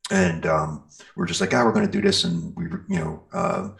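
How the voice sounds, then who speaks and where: Middle-aged American male musician, very low gravelly voice, loose conversational interview answers, often searching for words. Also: wildly swooping pitch, moderate pace. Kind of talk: casual interview chat.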